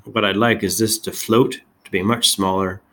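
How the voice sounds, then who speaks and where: Low, creaky-voiced man demonstrating software. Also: fairly even intonation. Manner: halting, thinking aloud while demonstrating. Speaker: tech video host.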